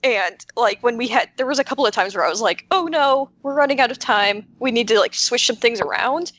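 Woman, mid-pitched voice, casual podcast chat, informal phrasing, quotes herself animatedly, lots of "like". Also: fairly quick, highs cut off. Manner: informal, animated self-quoting, frequent "like". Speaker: podcast panelist, game creator.

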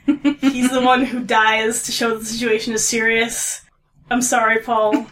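A woman's voice: a little high, little pitch movement, a little slow, a bit bright. A woman co-hosting a podcast about an audio drama, asking the cast questions in a wry, teasing way. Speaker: podcast co-host. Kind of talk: casual podcast chat.

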